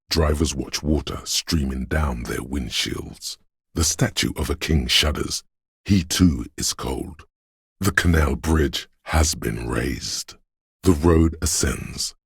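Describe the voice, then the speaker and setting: A man voicing a brooding inner voice that senses a rainy city, narrating a video game in a slow, solemn, poetic and deliberate way with a gravelly, husky tone. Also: very deep voice, dry recording, clean studio sound, heavily acted. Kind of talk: scripted atmospheric second-person narration.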